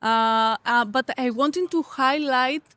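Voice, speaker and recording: Woman, Greek accent, native Greek speaker, fairly high voice, hesitant casual interview guest, very dry recording. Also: slow pace, a bit bright, no echo. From Greece. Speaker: interview guest, coffee competitor.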